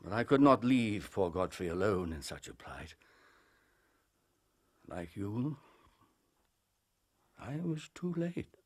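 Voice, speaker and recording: An actor playing a grave, proud, compassionate older English doctor in a radio drama, speaking in an earnest, formal, emphatic way. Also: male voice, lowish voice, sing-song, slow pace, long pauses, heavily acted. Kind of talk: British radio drama acting.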